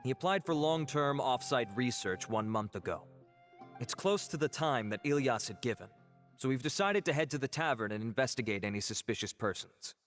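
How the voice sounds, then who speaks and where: A man as an earnest, mid-pitched formal officer. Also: animated, normal speed, a bit bright, soft background music, close to an everyday voice. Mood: briefing, serious. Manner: formal, businesslike, respectful. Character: earnest, formal, dutiful male investigating officer. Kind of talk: video game voice acting.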